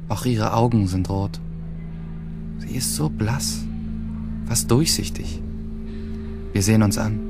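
An actor playing a brooding, detached teenage schoolboy in terse, introspective first-person radio drama narration. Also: male voice, fairly low pitch. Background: music under the voice.

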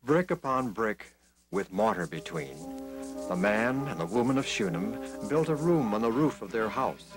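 Expressive male children's storyteller. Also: mid-range voice, moderate pace, gravelly, over music, rough recording. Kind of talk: scripted children's Bible story narration.

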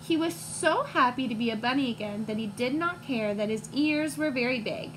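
A woman in her twenties reading a picture book to young children, expressive and teacherly, pausing to put questions to listeners. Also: fairly high pitch. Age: 20s. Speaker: read-aloud storyteller for young children. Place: small room at home, indoors. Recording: clear background noise, home recording.

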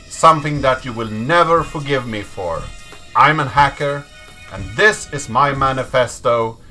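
A man in his thirties speaks in a deliberate, measured manner. His voice is bright and crisp at a slow pace, with faint music playing behind him. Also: medium pitch. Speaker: host of a programming channel. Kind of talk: slow dramatic reading and presenter talk. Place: small indoor room at a desk.

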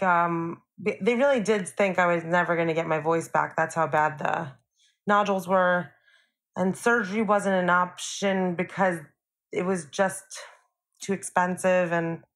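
A young woman with a somewhat low voice talks casually as a podcast guest. She rambles in a relaxed way, peppering her sentences with "like" and "you know". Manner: chatty, many fillers like "like" and "you know". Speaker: podcast guest, singer-songwriter. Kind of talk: casual interview chat.

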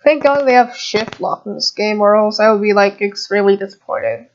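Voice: mid-range voice, fairly quick, highs cut off, slight background noise. A gamer giving casual, rambling gameplay commentary with muttered asides to viewers, recorded on a home computer microphone. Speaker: gamer narrating own gameplay video.

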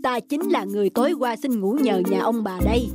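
Solemn, kindly magical wanderer. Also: male voice, extremely high pitch, fairly quick, over loud music. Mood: solemn, benevolent. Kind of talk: cartoon fairy tale acting.

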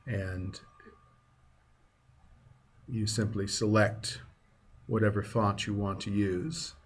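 Low-voiced man, casual tutorial, faint noise. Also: flat delivery, takes it slowly. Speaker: tutorial presenter. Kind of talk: casual screencast tutorial, explaining step by step. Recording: clear background noise, keyboard typing and clicks.

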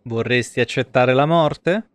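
A man's voice: mid-range voice, unhurried, smooth. A man, giving informal, colloquial live commentary on a video game. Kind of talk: casual live gameplay commentary. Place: small indoor room at a desk. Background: game music.

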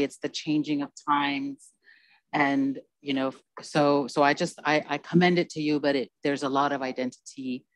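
A woman's voice: a bass voice, no room sound, rough recording. A woman on a conference panel, talking in an earnest, meandering way into a handheld mic on stage. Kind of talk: panel discussion.

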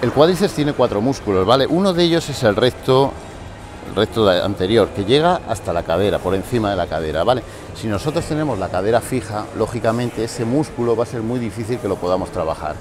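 A man in his forties with a lowish voice coaches gym exercises in an explanatory, step-by-step way, often pausing to check that listeners follow. He is recorded on a lapel mic in a large indoor gym, with music clearly audible behind him. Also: expressive. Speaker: fitness coach.

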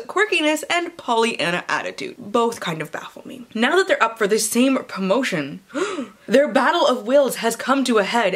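A woman's voice: on the high side, fairly bright tone. A young woman book vlogger, chatting casually and reading book blurbs dramatically, with playful, theatrical delivery and sarcastic asides. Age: young adult. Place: small room at home lined with bookshelves.

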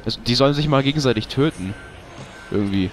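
A man's voice: medium pitch, brisk, slight background noise. Young man with a cold, ranting. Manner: swearing, frustrated ranting. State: has a cold. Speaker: let's play host. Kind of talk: casual gameplay commentary.

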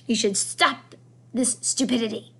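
A woman's voice: on the high side, a little slow, sharp tone, husky, exaggerated voice. A woman voicing a blunt, imperious old French grandmother from a children's novel, scolding with emphatic, dismissive repetition. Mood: indignant scolding. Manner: emphatic, dismissive, repeats words.

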